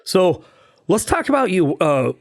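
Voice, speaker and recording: A man's voice: high-pitched, very animated, a little slow, dry recording, clean studio sound. A man hosting a podcast, chatting easily and asking short, friendly questions. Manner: easygoing, asks short questions. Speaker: podcast host. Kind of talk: casual interview chat.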